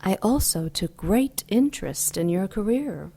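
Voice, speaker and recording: A woman playing an ancient, seductive and coolly menacing demon goddess in an audio drama, silky, deliberate and mocking. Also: mid-range voice, animated, creaky, dark tone, husky, exaggerated voice. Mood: sly, menacing.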